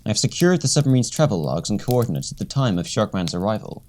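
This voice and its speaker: A cool, all-knowing villain's strategist, played by an actor, mid-pitched and very expressive in intonation. The diction is formal and measured, in radio play style. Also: slight background noise, natural voice. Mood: solemn, scheming.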